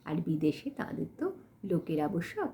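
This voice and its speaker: A woman voicing a poor, dignified young village woman who speaks softly and politely in an audio storybook. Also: lowish voice, sing-song, moderate pace, a little hiss or hum. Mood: welcoming, polite. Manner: soft, polite, pleading but composed. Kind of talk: acted dialogue in audio storybook.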